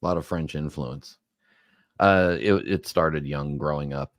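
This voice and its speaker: A man's voice: extremely low pitch, fairly even intonation, a little slow, no echo, clean studio sound. A man from Southern California co-hosting a casual chat, telling rambling stories and teasing his co-host.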